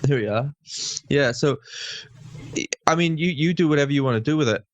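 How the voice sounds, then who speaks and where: A man's voice: fairly high pitch, a little fast, no echo, lo-fi sound. A man with a Swansea Welsh accent, a guest guitarist chatting casually and easygoing, often restarting his sentences. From Wales. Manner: easygoing, hesitant with repeated restarts. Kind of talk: casual chat.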